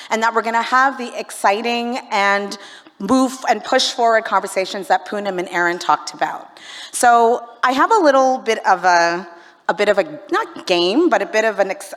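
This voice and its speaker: A woman's voice: mid-range voice, a bit bright. Chatty Canadian woman MC in a hall. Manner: chatty, playful, audience-engaging. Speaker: event MC. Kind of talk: live event hosting, crowd interaction. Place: large conference hall. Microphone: handheld stage microphone. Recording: reverberant conference hall.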